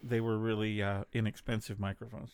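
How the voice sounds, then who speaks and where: A man's voice: lowish voice, flat delivery, fairly quick, a little mellow, smooth, no room sound. A middle-aged man co-hosting a casual podcast chat and joking around. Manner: joking, quotes movie lines in silly voices. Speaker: podcast co-host.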